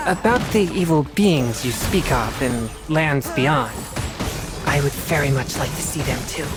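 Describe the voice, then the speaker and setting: An actor playing an earnest, disciplined teenage boy exorcist in a video game, speaking formally in a youthful voice. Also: on the high side. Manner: formal, measured, earnest delivery. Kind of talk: scripted video game character dialogue. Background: music.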